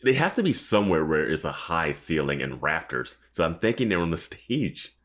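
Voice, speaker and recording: A man co-hosting a podcast in casual recap chat, animated and incredulous, often acting out imagined lines. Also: deep voice, moderate pace. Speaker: podcast co-host.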